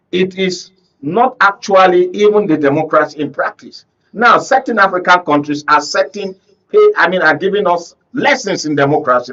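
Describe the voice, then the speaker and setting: High-pitched Nigerian man, emphatic political commentary. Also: fairly bright tone, muffled recording. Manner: emphatic, rhetorical, checks listeners follow with questions. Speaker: panel guest, conflict reporter. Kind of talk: political commentary on a talk show. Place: radio and TV studio discussion.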